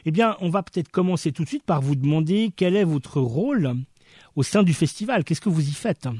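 A man hosting a radio interview, presenting in a measured, formal way. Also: on the high side, lively intonation, darkish tone, slightly rough, no echo, clean studio sound.

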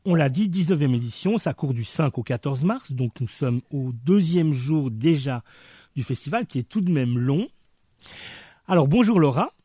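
Husky dry-miked male radio host. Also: fairly high pitch, lively intonation, warm tone, no echo. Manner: measured, formal presenter. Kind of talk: radio interview.

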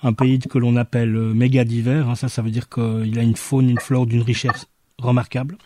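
A man hosting an interview, speaking in a measured, deliberate way. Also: medium pitch, level tone, mellow, no echo. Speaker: interviewer, host. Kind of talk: hosted interview.

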